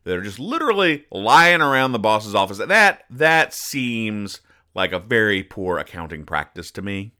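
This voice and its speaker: A man's voice: on the low side, sing-song, measured pace, pushed and bright. A man hosting a podcast, explaining comic strips in a conversational, mock-serious, pedantic way with frequent digressions. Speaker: podcast host.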